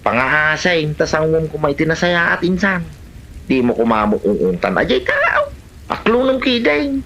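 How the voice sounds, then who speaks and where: Casting: actor for nagging, plain-spoken Ilocano relative, highly animated, sharp-edged delivery. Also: a little slow, full character voice. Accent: Filipino, Ilocano. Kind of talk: Ilocano comedy drama acting.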